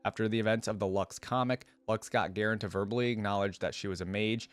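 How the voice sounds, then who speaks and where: A man's voice: lowish voice, fairly even intonation, fast talker, faint music behind. A man hosting a reaction video, reading text aloud and adding casual commentary as he goes.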